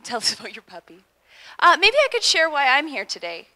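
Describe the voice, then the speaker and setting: Bright, chatty young woman emcee. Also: sits high, wildly swooping pitch, brisk. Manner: chatty, upbeat improvising between acts. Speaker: event MC. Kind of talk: hosting a live event. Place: large auditorium stage. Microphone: handheld microphone.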